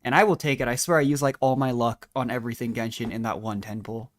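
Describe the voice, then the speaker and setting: A man's voice: mid-range voice, fairly even intonation, quick pace. A man streaming a video game, giving casual, rambling and self-deprecating commentary as he plays.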